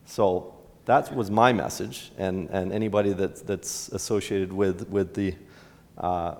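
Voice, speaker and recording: A middle-aged man, the mayor, speaks in a fairly low voice, answering audience questions loosely and restarting his sentences often. He stands in a big indoor hall, and his voice rings out with a long reverb. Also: steady tone, unhurried, vocal fry. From Canada. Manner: casual, rambling answers with restarts, gestures while standing. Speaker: town mayor. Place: large indoor hall with a stage.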